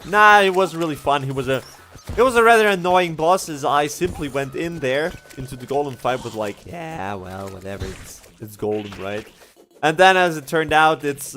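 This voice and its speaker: A man's voice: mid-range voice, a bit bright. A young Swiss man, a German speaker, chatting casually while streaming a game, often laughing and correcting himself. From Switzerland. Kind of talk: casual gameplay livestream commentary.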